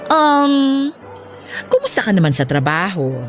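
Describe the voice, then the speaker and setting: A woman's voice: on the high side, sing-song, measured pace, faint music behind. A woman with a Filipino accent playing a playful but firm middle-aged mother in a radio drama. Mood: playful, fond.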